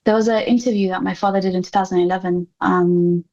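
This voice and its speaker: A woman's voice: lowish voice, fairly even intonation, fast, dark tone, muffled recording. Articulate, impassioned woman in an interview. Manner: articulate, impassioned, emphatic repetition. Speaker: interview guest. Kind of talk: earnest spoken interview answers.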